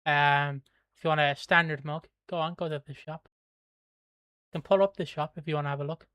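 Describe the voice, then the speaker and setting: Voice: mid-range voice, fairly even intonation, fairly quick, fairly bright tone, clean tone, dry recording. An Irish host from Kildare chatting casually on a livestream, rambling, swearing and laughing while reading viewer comments. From Ireland. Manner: plugs merch in a breezy, offhand way.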